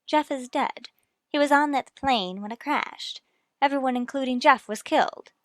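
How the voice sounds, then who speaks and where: Earnest, high-voiced radio mystery heroine. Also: female voice, moderate pace, a bit bright, no echo, studio quality. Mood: distraught, defensive. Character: women in a murder mystery, earnest and emotional. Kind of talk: old-time radio drama acting.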